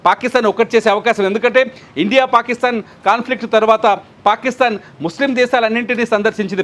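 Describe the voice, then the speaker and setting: A man giving news analysis as a commentator, explaining and repeating points for emphasis. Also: high-pitched.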